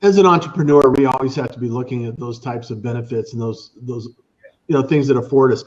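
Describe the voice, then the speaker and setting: A man's voice: mid-range voice, quick pace, a little mellow, lo-fi sound. A man hosting a business talk show, rambling and repeating words as he asks his guest questions, and joking at himself when he stumbles.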